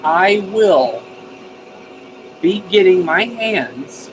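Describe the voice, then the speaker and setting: An enthusiastic man with a slightly high-pitched voice hosts a casual live stream. He talks in a folksy, informal and effusive way, with clear background noise behind him. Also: very animated, drawn-out words, poor audio. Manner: folksy, informal, mildly profane. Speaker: live stream host of a DIY radio channel.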